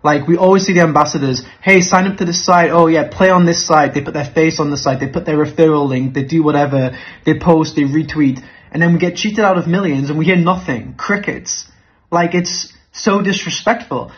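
A man's voice: fairly high pitch, brisk. A man delivering an indignant, informal monologue with repetition and swearing, heard as a dull played-back clip. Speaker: professional poker player.

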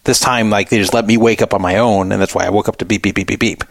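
A man's voice: on the low side, fairly even intonation, fast, vocal fry, dry recording, studio quality. A middle-aged American man hosting a talk show, telling personal stories in a casual, rambling, conversational way with emphatic repetition. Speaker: radio show host.

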